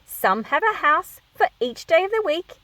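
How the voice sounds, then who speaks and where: A woman's voice: very high-pitched, big pitch swings, moderate pace, bright, forceful tone, no echo, recorded from a distance, voice pushed up, exaggerated voice, low-quality recording. A woman reading the part of a busy, practical mother in a picture book, explaining expressively to her child. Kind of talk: picture book read aloud.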